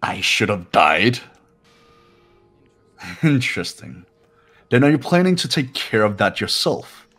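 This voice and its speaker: A man's voice: fairly low pitch, big pitch swings, takes it slowly, pauses often, fairly bright tone, slightly rough, heavily acted. A man voicing a haughty, cold young man in a video game, condescending and scornful. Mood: cold, taunting. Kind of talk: amateur video game voice-over. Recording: background music throughout.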